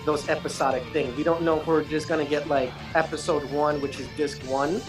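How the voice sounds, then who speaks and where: A man's voice: on the high side, steady tone, a little fast. A man speaking as a guest in a casual discussion, in an informal, conversational way. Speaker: guest panelist. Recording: voice under clearly audible music. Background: music.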